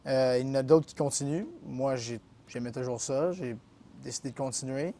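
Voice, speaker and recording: A man's voice: medium pitch, moderate pace, dark tone. A young man with a Québécois French accent answering interview questions in an easygoing, hesitant way with many fillers. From Quebec. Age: young adult. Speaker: guest, college tennis player.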